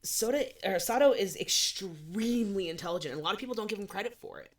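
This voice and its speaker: A woman in her twenties co-hosting a podcast, chatting casually with animated, swearing asides. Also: mid-range voice, fast. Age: 20s. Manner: animated, swearing, self-deprecating. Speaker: podcast co-host. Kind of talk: casual chat.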